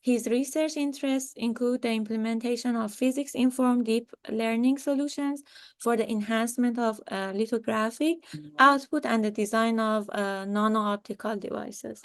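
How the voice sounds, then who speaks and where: A woman's voice: on the high side, steady tone, measured pace, no room sound. A young woman hosting a video seminar, answering questions and introducing speakers with many fillers. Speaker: seminar moderator. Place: home room. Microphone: video call.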